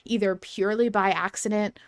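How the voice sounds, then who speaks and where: A woman hosting a casual fan discussion podcast, theorizing in an animated way with some swearing. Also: medium pitch, a little fast, no echo, clean studio sound. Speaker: podcast host.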